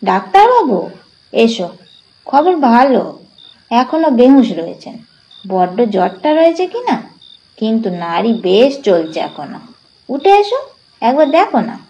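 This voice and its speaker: A woman's voice: fairly high pitch, sing-song, a little slow, long pauses, mellow, rough recording. A woman voicing an elderly village man in a storybook reading, colloquial and reassuring, brushing worries aside. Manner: colloquial, dismissive of worry.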